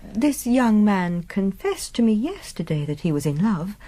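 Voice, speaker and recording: Expressive, ardent young noblewoman. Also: mid-range voice, a little slow. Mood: coyly probing. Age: young adult. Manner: earnest, heightened, formal. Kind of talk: Gothic radio drama acting.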